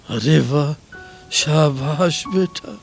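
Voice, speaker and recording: Man acting a weary, gravelly, poor working father. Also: on the high side, very slow, soft background music, full character voice. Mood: proud, affectionate. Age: 30s. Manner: sighing, lamenting, emotive delivery. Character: poor, weary, asthmatic factory worker father, 37, loving. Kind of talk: radio drama acting.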